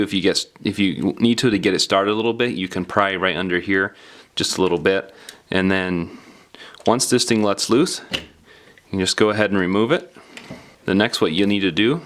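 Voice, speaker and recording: Man, lowish pitch, casual DIY tutorial narration, plain-spoken, explanatory, step by step. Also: fairly bright tone. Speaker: DIY phone repair tutorial presenter.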